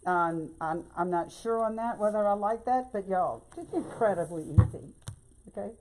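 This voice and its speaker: An older woman with a hoarse voice and a Louisiana Southern accent, chatting folksily through a bow-making tutorial. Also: mid-range voice, moderate pace, darkish tone, poor audio. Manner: chatty and folksy, keeps saying y'all. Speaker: craft tutorial host. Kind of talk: casual bow-making demonstration.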